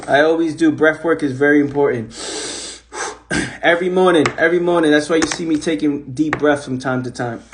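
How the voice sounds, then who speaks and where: A man hosting a casual, animated motivational talk on a phone livestream. He repeats himself often and swears casually. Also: on the high side. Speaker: host of an Instagram live.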